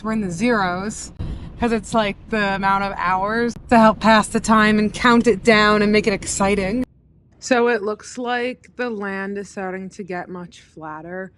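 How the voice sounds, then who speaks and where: A woman's voice: mid-range voice, fairly bright tone, a little hiss or hum. A young woman from the US East Coast, narrating a casual travel vlog in a chatty, upbeat way with lots of "like". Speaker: vlogger. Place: inside a car on a road trip.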